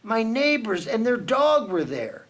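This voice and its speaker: A man reads a children's storybook aloud at an unhurried pace, his fairly high voice swinging widely as he builds suspense. He leans into dramatic pauses like a storyteller for young listeners. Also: big pitch swings, a bit bright. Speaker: children's book reader for a team reading program. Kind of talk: reading a children's picture book aloud.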